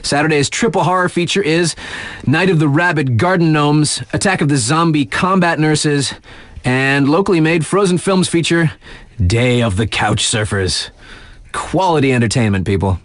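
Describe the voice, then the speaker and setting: A man's voice: on the high side, moderate pace, dry recording, close to an everyday voice. A man playing a laid-back, wisecracking radio DJ in a video game, reading local bulletins in a casual, mock-serious way. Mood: breezy, mock-serious. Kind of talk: breezy radio news bulletin, ad-libbed asides.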